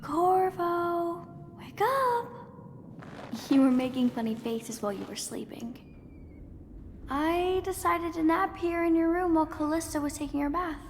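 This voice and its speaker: High-voiced, candid little girl. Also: expressive, measured pace, heavily acted. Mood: playful, trusting. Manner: childlike, candid. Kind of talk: video game voice acting. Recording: long reverb, faint background noise.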